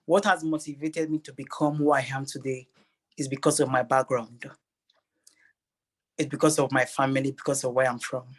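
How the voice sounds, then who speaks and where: A man's voice: medium pitch, steady tone, moderate pace. A man with a Nigerian accent speaking earnestly and emphatically as a motivational interview guest, repeating phrases for effect.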